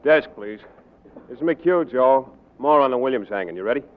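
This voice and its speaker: An actor playing a pushy, callous newspaper reporter in a 1940s radio drama. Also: male voice, medium pitch, expressive, normal speed, natural voice. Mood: businesslike. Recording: tinny, narrow-band 1940s radio recording.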